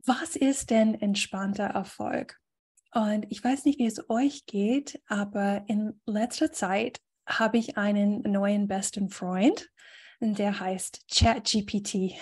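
A woman coach giving a relaxed talk to a group on a video call, pausing often with fillers. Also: medium pitch. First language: German. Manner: thoughtful, many fillers, reads a definition aloud. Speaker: guest speaker and coach.

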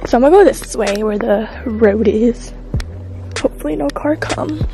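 A woman's voice: a little high, normal speed. Chatty young woman vlogging, music underneath. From San Francisco. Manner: chatty, rambling, filler-heavy. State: out of breath. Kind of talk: casual travel vlog. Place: outdoors on a canyon trail. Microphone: handheld phone at arm's length.

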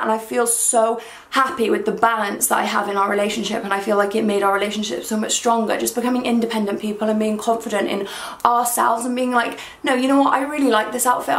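A young woman with a croaky, hungover voice, chatting excitedly in a casual try-on haul vlog. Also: fairly high pitch. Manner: excitable and gushing. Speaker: fashion vlogger. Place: small bedroom, indoors. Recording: echoey room.

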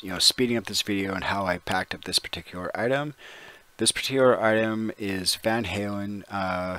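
A man's voice: lowish voice, pushed and bright. A man from Canada hosting a casual voice-over vlog, easygoing and rambling, with many fillers. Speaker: online seller, channel host.

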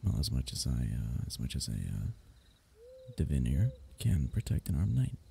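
A man playing an affectionate, teasing royal diviner in an intimate fantasy ASMR roleplay, speaking haltingly with courtly wording. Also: rumbling low, very animated, a little hiss or hum, exaggerated voice. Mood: gentle, reassuring. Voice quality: mellow, gravelly.

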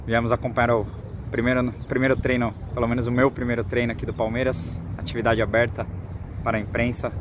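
A Brazilian man in his twenties gives an informal football vlog report to camera, in a halting way with repeated words, speaking into a phone at arm's length outdoors. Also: mid-range voice, little pitch movement. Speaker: sports reporter for a football fan channel. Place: outdoors on a football training pitch. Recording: outdoor noise with distant vehicles.

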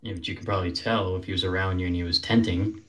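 A middle-aged man hosting a livestream, asking mock-serious, deadpan questions and thanking viewers for gifts. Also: sits low, fast talker, crowd noise. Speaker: livestream host interviewing. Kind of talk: improvised playful interview. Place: indoor room. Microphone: phone front camera.

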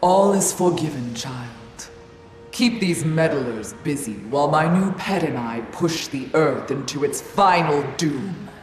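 An imperious, cruel goddess mother for a game, low and haughty. Her voice echoes over loud music. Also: expressive, unhurried. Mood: coldly indulgent. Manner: haughty, menacing. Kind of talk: superhero video game acting.

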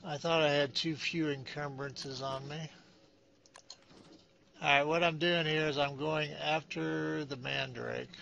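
An older man talks his way slowly through a video game, muttering to himself, mocking his own mistakes and cursing mildly. His voice is gravelly and fairly high, with a bright edge. The home recording sounds dull and lo-fi. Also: fairly even intonation, a little hiss or hum. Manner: muttering, self-mocking thinking aloud, mild cursing. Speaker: let's play gamer. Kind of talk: casual gameplay commentary.

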